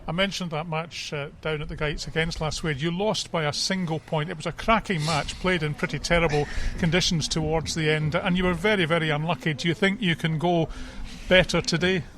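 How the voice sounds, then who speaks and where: A man's voice: a little high, steady tone, a little fast, few pauses, fairly bright tone. A man conversationally interviewing someone as a radio sports reporter before a match. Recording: faint outdoor background noise.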